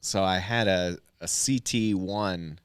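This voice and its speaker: A man's voice: sits low, slow, smooth, no echo, studio quality. A man, a stand-up comedian and military veteran, chatting loosely as a podcast guest, rambling with lots of fillers and restarts. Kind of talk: casual podcast conversation.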